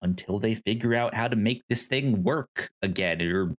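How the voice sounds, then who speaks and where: A man co-hosting a podcast, discussing films casually in a halting, rambling way with easy laughter. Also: fairly low pitch. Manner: rambling, stammering, laughs easily. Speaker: podcast co-host.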